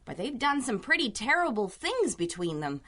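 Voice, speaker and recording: Sanitarium receptionist, high-pitched, very animated, skittish, period radio drama. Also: female voice, normal speed, exaggerated voice. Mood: uneasy. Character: skittish, wisecracking 1930s female sanitarium receptionist. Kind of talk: 1930s-style radio drama acting.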